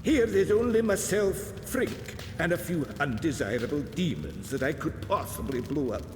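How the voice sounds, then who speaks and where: A man's voice: on the high side, expressive, normal speed, slight background noise, lightly acted. A man playing an eccentric, kindly old alchemist in a video game, lilting and theatrical. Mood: friendly, dotty. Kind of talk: video game character acting. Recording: echoey reverberant sound with music behind.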